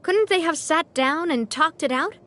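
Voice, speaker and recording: A woman's voice: high voice, normal speed, a bit bright, slight background noise. A woman voicing a game character, thoughtful and questioning. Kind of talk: game dialogue.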